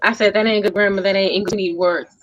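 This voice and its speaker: A woman hosting a lively, casual group chat, teasing and exclamatory as she talks. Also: mid-range voice, quick pace, lo-fi sound. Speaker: talk show host and stage play actress.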